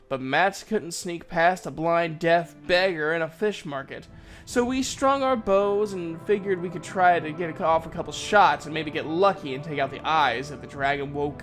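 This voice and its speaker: A man's voice: on the high side, normal speed, a bit bright, soft background music. A man narrating a fantasy story in the first person, in a folksy, conversational storyteller's manner. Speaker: narrator, voice actor.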